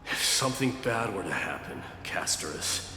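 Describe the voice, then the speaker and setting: An actor playing a composed, valiant warrior lord in a fantasy game, speaking formally with deliberate assurance. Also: male voice, sits low, sing-song, sharp tone, exaggerated voice. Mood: commanding, calm. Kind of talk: scripted fantasy game voice acting. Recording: echoey hall reverb over clear music.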